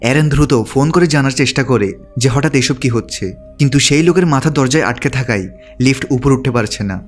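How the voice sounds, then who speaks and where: A man's voice: mid-range voice, fairly quick, soft background music. A man narrating a film's story from a script, as a recap narrator. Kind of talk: scripted narration of a film plot.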